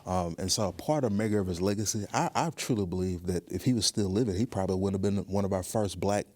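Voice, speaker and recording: A man giving thoughtful interview answers as a museum guest, speaking in a measured, earnest and deliberate way. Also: low voice, a little mellow.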